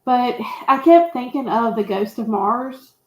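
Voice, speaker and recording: In a noticeably echoey room, a woman chats about films as a co-host. Her voice sits fairly high, and her phrasing is informal and folksy. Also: animated, unhurried. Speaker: movie podcast co-host. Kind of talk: casual movie discussion.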